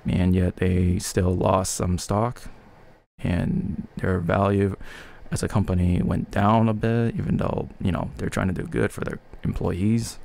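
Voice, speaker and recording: A man co-hosting a gaming podcast in casual chat, with a halting, rambling way of talking. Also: low-pitched, flat delivery, creaky, darkish tone. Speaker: video game podcast co-host.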